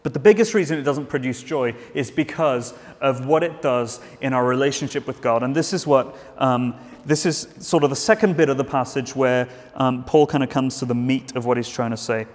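A man's voice: medium pitch, normal speed, few pauses, in a large hall. A man preaching a sermon in a church, earnest, with frequent restarts and fillers.

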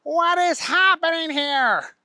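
A man voicing a stern, exasperated boss of a candy factory who scolds in a high, sharp voice. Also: slow pace, no room sound, studio quality, exaggerated voice. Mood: alarmed.

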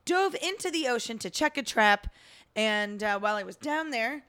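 A woman with a bright, fairly high voice co-hosts a loose, joking podcast conversation. She slides into deadpan bits and spins news stories into gags. The sound is close and dry. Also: normal speed, no room sound. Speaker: podcast co-host. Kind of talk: casual comedic chat.

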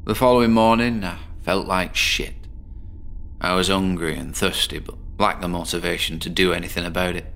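Deep-voiced, troubled British loner. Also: male voice, fairly even intonation, moderate pace, creaky, a bit bright. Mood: dejected. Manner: weary, hesitant, rambling confessional storytelling. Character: troubled, insomniac bedsit loner, morbid and self-deprecating patient. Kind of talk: dramatic monologue in a horror audio drama.

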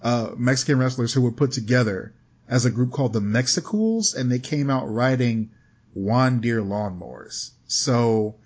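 A man's voice: medium pitch, moderate pace, a little mellow. Laughing man in casual podcast chat. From American Midwest. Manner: laughs often, rambling storyteller. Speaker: podcast co-host. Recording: slightly dull lo-fi audio.

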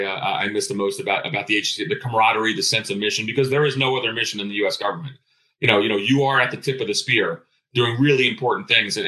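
A man's voice: medium pitch, bright, forceful tone, low-quality recording. A middle-aged man telling personal stories as an interview guest, earnest, emphatic and conversational. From born in Greece. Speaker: interview guest, retired intelligence officer.

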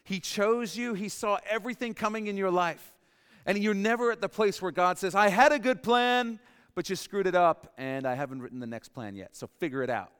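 A male pastor delivers his sermon with a smooth, resonant voice, repeating key phrases and dropping quiet to drive them home. His voice sits a little higher than most men's. The sound rings with long reverb from a large hall. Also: fairly quick. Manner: emphatic, repeats key phrases, drops to soft emphasis.

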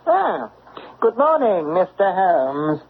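Urbane radio cad and gambler. Gravelly creak, swooping intonation, mocking courtesy, thin old recording. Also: male voice, high voice, sing-song, takes it slowly, exaggerated voice. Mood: smug, genial. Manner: urbane, mocking, drawling politeness. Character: smooth, brazen gentleman villain, a gambler and cheat. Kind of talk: classic radio drama acting. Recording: phone-like old radio recording, faint noise.

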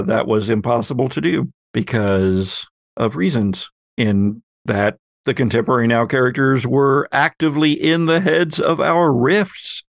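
Gravelly-edged man hosting a podcast. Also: mid-range voice, no room sound. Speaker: podcast host. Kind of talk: casual podcast discussion.

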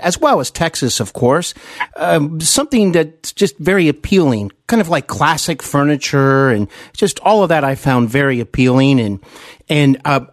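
A man's voice: mid-range voice, no echo, clean studio sound. A man from the American Midwest hosting a conversational podcast interview, appreciative and a little hesitant in his phrasing. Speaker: podcast host.

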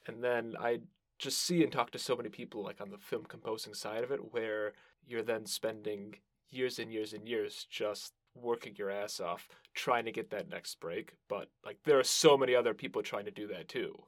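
A man's voice: fairly low pitch, fairly even intonation, normal speed, no room sound. A man hosting an interview podcast, chatting casually in informal, loosely phrased sentences.

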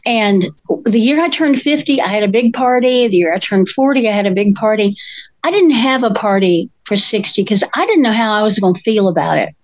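A woman, co-hosting a casual chat in a slow, thoughtful way. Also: medium pitch, no echo, lo-fi sound. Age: 60s. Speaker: co-host.

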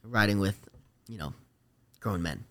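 A man's voice: on the low side, a little slow. A young man chatting casually as a podcast guest, talking in a hesitant, rambling, slangy way. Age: late teens to young adult. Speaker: rodeo rider, podcast guest.

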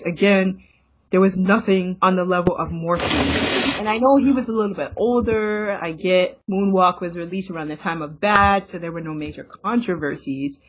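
Woman cohost, husky voice, thinking things through out loud, dull lo-fi audio. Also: medium pitch. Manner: measured, analytical, critical. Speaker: podcast co-host. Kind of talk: casual conversation. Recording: dull lo-fi recording.

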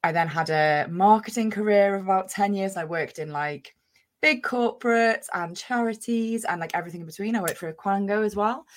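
Chatty 30s woman from north wales, lowish voice, rambles a lot. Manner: chatty, rambling, lots of fillers. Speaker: guest, business owner. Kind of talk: casual interview chat.

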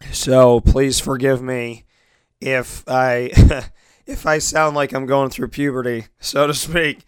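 Hoarse man hosting a sports radio show. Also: medium pitch, level tone, takes it slowly, dry recording, clean studio sound. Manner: earnest, repetitive, grateful, many restarts. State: losing his voice, hoarse. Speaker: sports talk radio host. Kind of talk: solo internet radio show monologue.

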